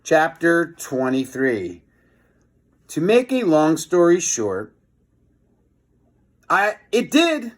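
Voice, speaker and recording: A man reading a children's book aloud in an expressive storytelling style, with chatty, casual asides. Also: a little high, very slow, pauses often. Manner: expressive, chatty commentary between passages.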